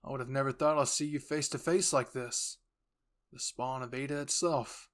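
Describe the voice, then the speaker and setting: A man acts out a self-assured male villain in a roleplay story. He is menacing and deliberate, offering sympathy in a condescending way. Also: mid-range voice, steady tone, fairly bright tone, natural voice. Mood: coolly taunting.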